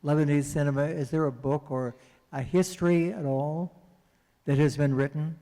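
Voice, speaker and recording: A man's voice: a little high, fairly even intonation, normal speed, dark tone. A man in the audience putting a long, hesitant question to a panel, with many fillers. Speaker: audience member asking questions. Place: festival panel venue. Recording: hall with long reverb.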